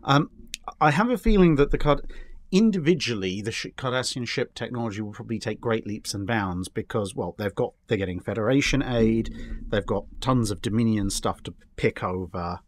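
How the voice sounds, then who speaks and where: A man's voice: on the low side, expressive, normal speed, a little hiss or hum. A man chatting casually as a guest on a fan discussion show, building long, jokey speculative explanations with stumbling starts.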